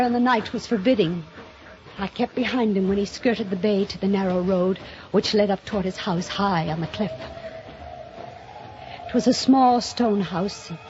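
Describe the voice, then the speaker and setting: A woman's voice: medium pitch, noisy background, natural voice. An actress playing an obsessive, jealous woman novelist turned killer, speaking and narrating dramatically in a 1950s radio mystery style. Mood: brooding, tense. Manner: dramatic, intense, deliberate delivery. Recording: dull old radio recording, music behind.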